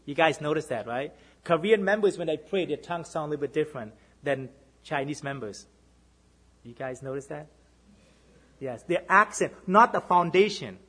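A man with a Boston accent delivers a sermon in a slightly husky, higher-than-usual voice. He keeps asking his congregation "right?" and swings between emphatic lifts and quiet, dropped repetitions. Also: animated, moderate pace. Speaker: minister.

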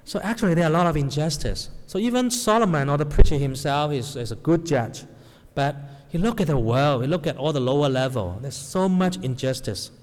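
A man's voice: fairly high pitch, expressive, fairly quick. Male preacher in a hall. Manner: non-native English with grammar slips, asks the audience "right?". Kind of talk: sermon to a congregation. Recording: long hall reverb.

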